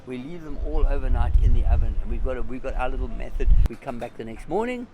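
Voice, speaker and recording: A man's voice: mid-range voice, animated, fast. A man who cooks in his own restaurant, talking casually and enthusiastically in an interview. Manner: enthusiastic, informal. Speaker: restaurant owner and cook. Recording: loud ambient urban noise.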